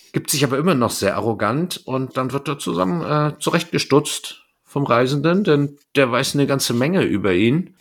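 A man's voice: mid-range voice, a bit bright. A man, a podcast co-host recapping a story in casual, flippant everyday language. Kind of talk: casual chat recapping an audio drama.

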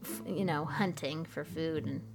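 A woman's voice: low voice, unhurried, vocal fry, music in the background. A woman co-hosting a podcast, chatting casually and giggling often, with frequent restarts. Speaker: podcast co-host. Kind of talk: casual chat.

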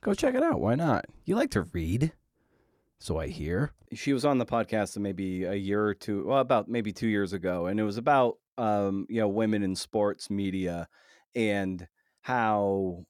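A man hosting a podcast in a conversational interview style, often halting and repeating words as he thinks his way through. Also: on the low side, animated, a little mellow, dry recording, studio quality. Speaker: podcast host.

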